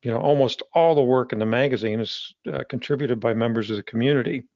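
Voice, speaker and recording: Halting man with a dull, dry sound. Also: mid-range voice, a little mellow, dry recording. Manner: halting, with frequent restarts. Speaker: magazine publisher. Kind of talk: casual interview conversation.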